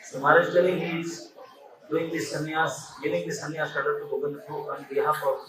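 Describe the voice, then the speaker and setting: A man translating at a temple ceremony, quickly repeating each phrase after the main speaker. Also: a little high, level tone, roomy sound, crowd noise, rough recording. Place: open temple courtyard.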